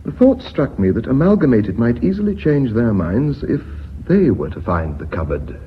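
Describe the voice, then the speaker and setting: An actor playing an earnest, loyal company secretary in a radio drama, polite, precise and persuasive. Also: male voice, medium pitch, sing-song, moderate pace, close to an everyday voice. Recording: muffled, hissy old radio recording.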